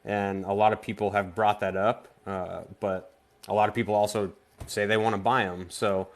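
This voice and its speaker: A man from Vermont, a guest artist on a casual live-stream interview, speaking in a halting way with frequent restarts. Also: on the low side, little pitch movement. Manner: halting, stops and restarts often.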